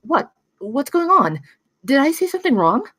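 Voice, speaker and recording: A woman's voice: sits high, sing-song, moderate pace, fairly bright tone, dry recording. A woman playing a plainspoken, brilliant thirteen-year-old girl in a script table read. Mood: uneasy.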